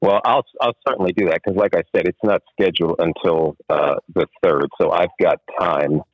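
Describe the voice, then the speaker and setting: A hoarse man with a very deep voice calls in over a muffled phone line. He speaks in a worried, halting way, stopping and restarting often. The sound is dry and close. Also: no echo. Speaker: caller.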